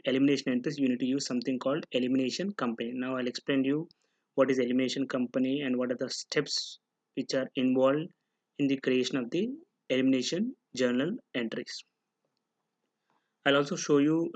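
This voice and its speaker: A man narrates a software tutorial as an instructor, explaining step by step and often posing questions that he then answers. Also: medium pitch. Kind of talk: screen-recorded tutorial narration. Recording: noticeable background noise with clicking.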